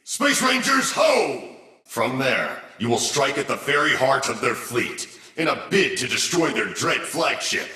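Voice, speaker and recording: A man's voice: mid-range voice, sharp tone, roomy sound, distant mic. A man playing a bombastic, gung-ho space ranger commander, giving a rousing, theatrical mission briefing in a sci-fi game. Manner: rousing, grandiose.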